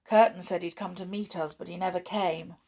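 A woman's voice: on the low side, steady tone, a little fast, a little mellow, slightly rough. A woman voicing a defeated, sullen male crook in a storybook, resigned and weary.

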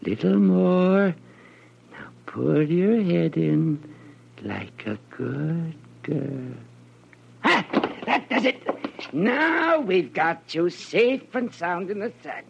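An actor playing an eccentric, fussy snake expert with a creaky, raspy voice and a folksy, coaxing manner, in 1940s radio style. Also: male voice, high voice, very animated, drawn-out words, slight background noise, exaggerated voice. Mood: pleased. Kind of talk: 1940s radio character acting. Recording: dull old radio recording.

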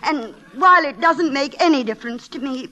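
An actress playing a fond, practical wife in a classic radio drama, affectionate and placating in manner. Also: female voice, sits high, expressive, brisk, bright, forceful tone. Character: fond, practical, soothing middle-aged wife.

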